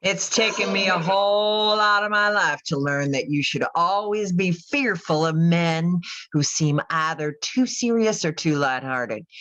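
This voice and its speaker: An actress playing a world-weary, religious middle-aged mother in an audio drama, plainspoken, measured and folksy. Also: lowish voice, a bit bright, muffled recording. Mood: wry, knowing. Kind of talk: naturalistic podcast radio drama acting.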